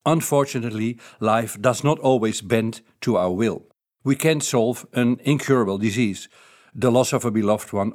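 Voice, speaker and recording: A man from the Netherlands speaks English with a Dutch accent, laying out ideas in a steady, explanatory way. His voice sits at a middling pitch, and he slows down to stress key phrases. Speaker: author. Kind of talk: reading his own book's ideas aloud.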